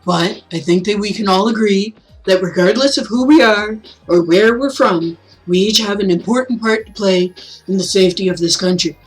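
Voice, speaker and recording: A woman's voice: mid-range voice, normal speed, slightly rough, faint music behind, lightly acted, rough recording. A woman voicing an affable older male mayor giving a folksy, jokey, rousing speech in a visual novel reading.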